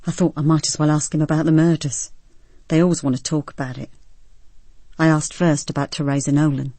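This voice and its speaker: An actress playing a policewoman who narrates her own ordeal in a grave, measured voice for a radio drama. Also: low voice, flat delivery, mellow, no room sound. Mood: controlled tension. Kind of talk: first-person dramatic narration.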